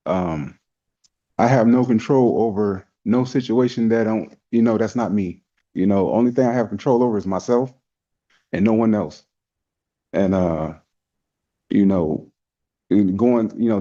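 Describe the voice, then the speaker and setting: A man's voice: fairly low pitch, warm tone. A man addressing a judge as a defendant, giving an informal, colloquial statement in muffled courtroom audio. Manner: colloquial, peppered with "you know".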